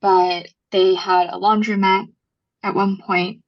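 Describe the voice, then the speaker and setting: A woman's voice: medium pitch, flat delivery, takes it slowly. A young woman, a podcast guest who tells stories in a casual way, with many ums and likes. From Los Angeles, USA. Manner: storytelling, frequent ums and likes, laughs easily. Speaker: podcast guest, brand founder. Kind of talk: casual interview chat. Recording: dull muffled podcast audio.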